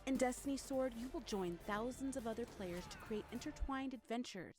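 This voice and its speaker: A woman's voice: on the high side, fairly quick, over music. A woman reading scripted promotional narration for a game in a polished announcer manner.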